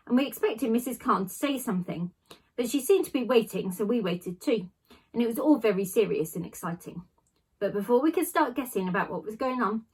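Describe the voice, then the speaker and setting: A woman reads a children's novel aloud in the manner of a class teacher. Her voice sits at an ordinary female pitch and has a slightly husky, unpolished edge. She leans on key words and leaves small dramatic pauses as she tells the story. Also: poor audio.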